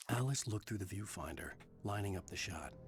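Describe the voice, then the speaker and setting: An actor playing a brooding thriller narrator in a video game, reading literary prose in a measured way. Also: male voice, lowish voice, expressive. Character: brooding male narrator of a dark thriller novel.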